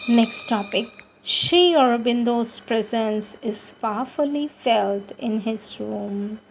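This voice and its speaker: A woman's voice: fairly high pitch, slow, creaky, a little hiss or hum, poor audio. A woman giving a devotional reading of spiritual texts in a solemn, emphatic manner. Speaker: reader of spiritual writings. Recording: music playing behind the voice. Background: background music.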